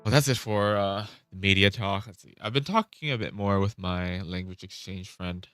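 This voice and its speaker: Male vlogger, very deep voice, loose rambling delivery, dry recording. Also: normal speed, a bit bright, clean tone, no room sound. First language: Vietnamese. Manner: rambling, self-interrupting, swears casually. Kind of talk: casual rambling chat.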